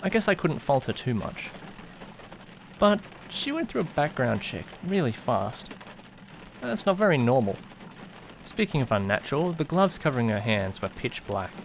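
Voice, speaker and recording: A man playing an awkward, easily flustered young driver who narrates an audio drama in the first person, musing conversationally to himself. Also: vocal fry. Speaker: narrator and lead character. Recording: noisy, rain and car rumble behind. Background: rain and vehicle sounds.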